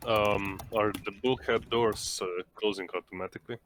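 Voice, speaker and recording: A man's voice: fairly low pitch, fairly even intonation, normal speed, fairly bright tone, a little hiss or hum. A man playing a tabletop game, talking casually about his moves over group voice chat.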